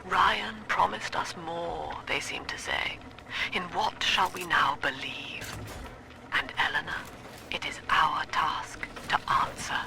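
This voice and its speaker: An actress playing a cold, lofty middle-aged British cult leader and psychiatrist in a video game, with measured, formal, clinical preaching. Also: female voice, low voice, creaky, noisy background, full character voice. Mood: grave, didactic. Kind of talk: scripted video game villain monologue.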